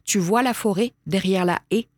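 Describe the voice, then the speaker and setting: A woman voicing a gentle, grumbling adult male wolf in a storybook, calm and measured. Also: mid-range voice, no room sound, studio quality, close to an everyday voice.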